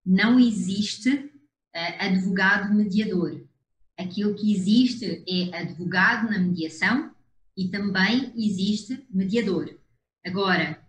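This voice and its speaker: A woman from Portugal with a European Portuguese accent, hosting a conversation show in a measured, assertive way with frequent hesitations. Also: medium pitch, a bit bright, roomy sound, rough recording. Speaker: host.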